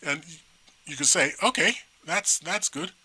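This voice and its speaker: A middle-aged man from Nigeria co-hosting a talk show, chatting in a rambling, animated way with many restarts. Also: fairly high pitch, moderate pace, breathy edge, poor audio. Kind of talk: casual chat.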